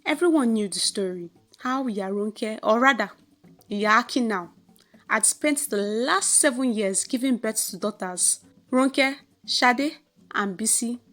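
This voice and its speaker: Female Nigerian storyteller, higher-pitched, expressive and theatrical, dramatic folktale narration over soft music. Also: unhurried.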